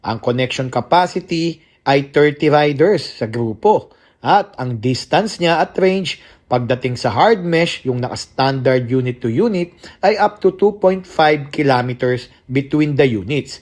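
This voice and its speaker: A man's voice: medium pitch, lively intonation, unhurried. A Filipino man reading scripted product narration as a voice-over. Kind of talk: scripted spec narration, mixing Tagalog and English.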